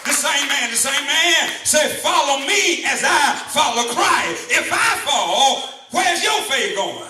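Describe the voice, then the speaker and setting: High-pitched shouting preacher, echoey. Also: male voice, expressive, slow pace, over music, a crowd in the background. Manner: shouting, rhythmic, repeating phrases. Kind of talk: call-and-response sermon. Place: large church hall. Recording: echoey hall, far mic, congregation behind.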